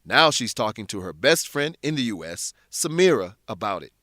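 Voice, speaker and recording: A man's voice: mid-range voice, very animated, normal speed, a bit bright, no room sound, clean studio sound. A man hosting and narrating an educational radio drama in a scripted style for language learners.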